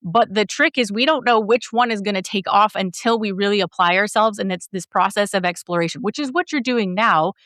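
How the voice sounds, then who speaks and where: Middle-aged woman coach, chatty and encouraging, dry close sound, mid pitch. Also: fairly quick, few pauses, fairly bright tone, dry recording. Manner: talkative, encouraging, explains with examples. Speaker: business coach and host. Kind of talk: coaching conversation.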